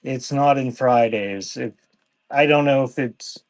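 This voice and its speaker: A man running a casual live-stream sale, talking in an offhand, halting way and thanking viewers by name. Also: mid-range voice, no room sound. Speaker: live online seller.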